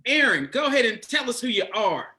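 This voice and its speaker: High, bright-voiced playful male host. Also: sing-song, brisk. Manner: playful, laughing, upbeat. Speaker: dating coach host. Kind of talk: casual video-call chat.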